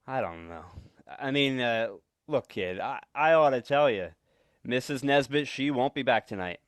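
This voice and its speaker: A man's voice: medium pitch, expressive, moderate pace, clear, resonant tone, no room sound, clean studio sound, close to an everyday voice. A man acting a nervous, secretive husband with menace under his evasive stammer, in 1940s radio suspense style. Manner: stammering, evasive, repeats words.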